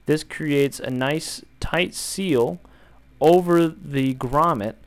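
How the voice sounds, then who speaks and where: A young man calmly walking viewers through a build, step by step, in an instructional voice-over. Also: fairly high pitch, slow. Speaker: DIY tutorial maker.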